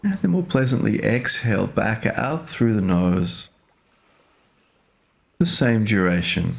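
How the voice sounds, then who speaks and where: A man leading a guided meditation as a teacher, speaking gently and unhurriedly in short phrases with pauses. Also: fairly low pitch, animated, gravelly.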